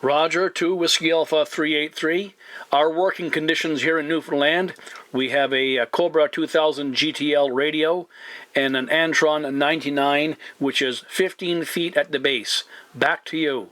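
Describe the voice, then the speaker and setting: A man with a bright, forceful voice on the higher side, recorded dry and close. He speaks as a CB radio operator, formal and announcer-like, repeating call signs and places as he makes contact. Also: fairly even intonation, normal speed, no room sound. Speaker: CB radio operator hosting a video channel.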